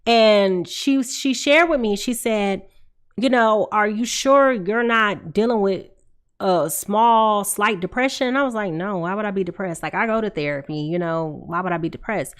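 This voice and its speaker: A woman's voice: medium pitch, moderate pace. A woman in her thirties with a congested, stuffy voice, hosting a tarot livestream in a casual, confiding way, calling out to her viewers from a room at home. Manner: chatty, confiding, calls out to viewers. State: congested from a cold. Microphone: desk microphone in front of her.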